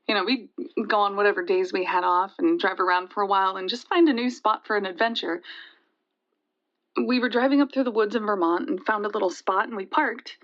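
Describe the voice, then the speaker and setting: A woman playing a nervous caller to a radio show, haltingly telling a frightening memory. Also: medium pitch, a bit bright, lo-fi sound, lightly acted. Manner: hesitant, halting. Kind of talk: halting personal storytelling, audio drama.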